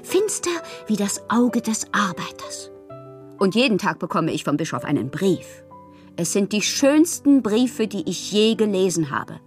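An actress playing a passionate, capricious bohemian woman in a literary radio drama, theatrical and intimate, with a breathy voice. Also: medium pitch, sing-song, unhurried, soft background music. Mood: dreamy, enamoured. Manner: theatrical, intimate, often dropping to breathy murmurs. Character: dreamy, passionate, capricious bohemian woman writing love-struck letters.

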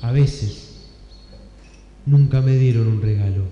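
A man playing a melancholy, halting therapy patient in improvised comic radio theatre. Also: mid-range voice, natural voice. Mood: sad, wistful. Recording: dull, echoey hall with loud music.

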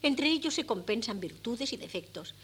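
An actress plays a middle-aged Castilian Spanish mother in old radio theatre. Her voice is fairly high, chatty and full of naive pride in her family. The tape is old and slightly noisy. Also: animated, moderate pace, natural voice. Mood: contented. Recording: noisy 1979 radio cassette recording.

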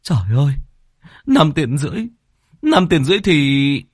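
A man voicing a desperate poor peasant who stammers, pleads and wails in a high, strained voice. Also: big pitch swings, slow pace, vocal fry, no room sound, studio quality, full character voice. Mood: shocked.

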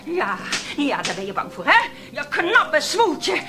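A bitter, high-voiced lonely woman. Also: very animated, takes it slowly, music in the background, full character voice. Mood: furious, threatening. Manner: brittle and intense, mimics others mockingly. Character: bitter, lonely woman resentful of caring for her brother. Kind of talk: radio play acting.

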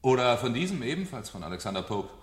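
Actor as swooping, sardonic fire captain. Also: male voice, mid-range voice, big pitch swings, fast talker, crowd noise, lightly acted. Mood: declaiming, taunting. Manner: sardonic, theatrical, declaiming, falsely hearty. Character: mocking, jovial, menacing male fire captain. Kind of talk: 1970s German radio drama acting. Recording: echoey room, vintage radio play.

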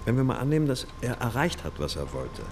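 An actor playing a brooding, intuitive police detective in a radio crime drama, thinking aloud with insistent questions. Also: male voice, mid-range voice, sing-song, quick pace, noisy background. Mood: speculating. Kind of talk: naturalistic German radio crime drama acting.

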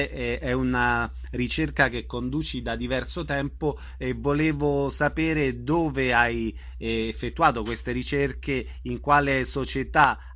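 A man with a medium-pitched voice acts as interviewer. He lays out his questions to the guest in spoken, conversational form. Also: a bit bright.

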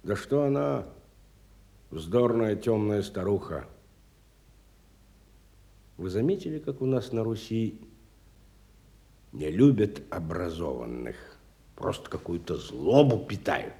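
An actor playing a wealthy, educated, bitter industrialist in a radio stage drama, theatrical and musing, moving between soft and emphatic speech. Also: male voice, on the low side, very animated, slow pace, long pauses, heavily acted. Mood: sardonic, brooding.

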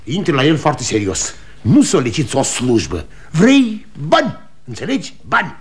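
A man's voice: a little high, wildly swooping pitch. An actor playing a proud, domineering aging salesman father who lectures his sons, in a 1960s radio drama. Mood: lecturing. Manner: bossy, lecturing, quick to anger. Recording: old studio radio recording.

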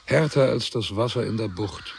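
A man acting as a pensive narrator in a literary radio drama, deliberate and questioning. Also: fairly low pitch, vocal fry, a little hiss or hum. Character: pensive male narrator weighing a dead man's story.

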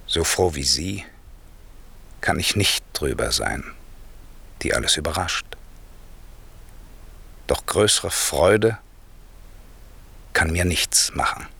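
An actor playing a grave, benevolent older scholarly father in classical radio drama, with a gravelly voice and measured, solemn delivery. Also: a bass voice, animated, sharp tone, full character voice. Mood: quietly gratified.

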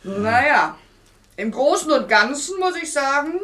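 A woman's voice: high voice, very animated, unhurried, a loud crowd. A woman playing a bossy, sentimental female sea captain in a radio satire, switching between gushing endearments and blunt threats. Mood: brisk, commanding. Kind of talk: radio crime satire acting.

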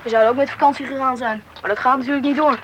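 An actor with a woman's register playing an eager, curious schoolboy in a radio play, chatty and husky. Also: high voice, steady tone, racing, background noise, lightly acted. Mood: wistful, chatty. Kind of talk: radio play acting with first-person narration.

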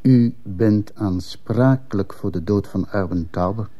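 An actor playing a stern, probing male police commissioner in a radio play, questioning in a formal, measured manner. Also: lowish voice, dark tone. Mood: grave. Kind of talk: Dutch-language radio drama acting.

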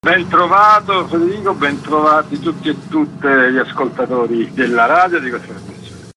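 A man's voice: on the high side, normal speed, background noise. A man speaking as a festival curator and guest in a conversational radio interview, explaining things in a discursive way.

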